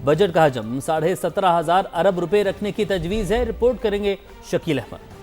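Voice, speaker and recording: A man's voice: high-pitched, moderate pace, a little mellow. A man with a Pakistani accent anchoring a TV bulletin, reading scripted news with emphatic headline delivery. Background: news music bed.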